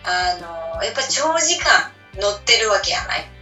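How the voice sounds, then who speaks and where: Bright, animated western Japanese woman, distant sound. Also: medium pitch, unhurried, soft background music. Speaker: radio show co-host. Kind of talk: casual banter. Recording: distant, roomy, muffled dull link.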